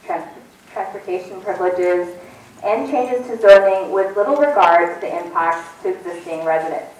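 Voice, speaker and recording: A woman in her forties whose first language is Tagalog, moderating a community panel on a handheld mic and reading measured remarks from notes. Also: fairly low pitch, recorded from a distance, a little hiss or hum. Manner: clear, measured, reads from notes. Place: community center hall with audience. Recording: echoey hall, voice a bit off-mic.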